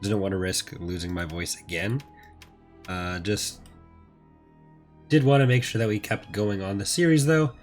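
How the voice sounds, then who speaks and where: A man with a fairly low voice that is still recovering from being lost. He hosts a casual game playthrough, rambling along in a low-key way. Faint music plays behind him. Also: measured pace, long pauses. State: recovering from voice loss. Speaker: host of a gaming playthrough series. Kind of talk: casual gameplay commentary.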